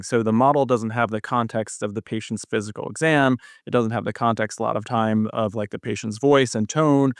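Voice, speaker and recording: A man's voice: medium pitch, smooth, no echo, clean studio sound. A man speaking as a podcast guest, a researcher explaining his field in an interview answer.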